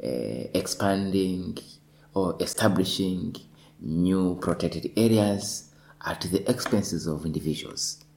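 A lawyer in his thirties answering interview questions deliberately, often repeating words as he searches for them. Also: sits low, gravelly, darkish tone, slight background noise. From northwestern Tanzania.

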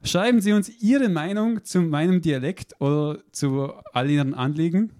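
A man's voice: a little high, normal speed, warm tone, no room sound, clean studio sound. A man hosting a radio show in a chatty, playful way. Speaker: radio host. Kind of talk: casual radio chat.

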